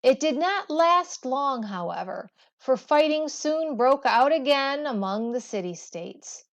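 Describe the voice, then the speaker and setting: A woman teacher reading a textbook aloud in a deliberate, phrase-by-phrase way, as educational narration. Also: high-pitched, expressive. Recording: dull, lo-fi sound.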